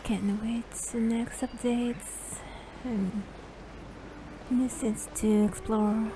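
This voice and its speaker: A woman gaming creator giving casual gameplay commentary in a halting, hesitant way, with game music behind her. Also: fairly high pitch, flat delivery, noisy background.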